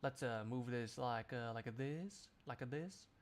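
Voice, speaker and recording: A man's voice: medium pitch, measured pace. A man livestreaming, chatting casually to his viewers in a jokey, rambling, exclamatory way. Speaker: live streamer.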